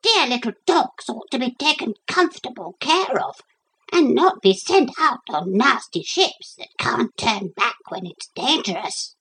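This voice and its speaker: A woman's voice: on the high side, sing-song, a little slow, fairly bright tone, husky, pitched above the performer's own voice, heavily acted, low-quality recording. A woman voicing a timid, pampered little female dog in a children's story, plaintive and whining. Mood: fretful, sorry.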